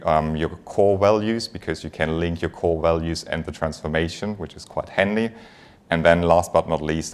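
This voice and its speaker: Deep-voiced man with a German accent. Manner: conversational, engaging, light humour with the audience. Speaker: finance executive giving a conference talk. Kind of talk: conference presentation.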